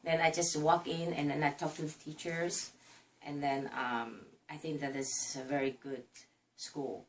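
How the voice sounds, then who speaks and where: Low-voiced woman speaking haltingly from a distance. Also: steady tone. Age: middle-aged. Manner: hesitant, halting, with frequent fillers. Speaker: interview guest, mother of five. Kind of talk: casual interview chat. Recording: distant mic with faint noise.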